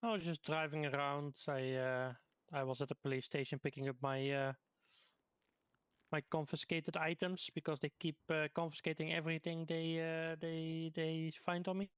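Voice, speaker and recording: A man in casual improvised game roleplay, giving hesitant, halting answers over lo-fi voice chat. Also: fairly high pitch, level tone, fairly bright tone, clear, resonant tone, no echo. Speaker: player in online roleplay.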